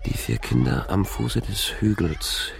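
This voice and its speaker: An actor playing a brooding middle-aged man haunted by memories, speaking slow, fragmentary inner monologue in a radio drama. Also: very animated, slight background noise, exaggerated voice. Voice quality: low, gravelly, creaky. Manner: slow, fragmentary, introspective recitation.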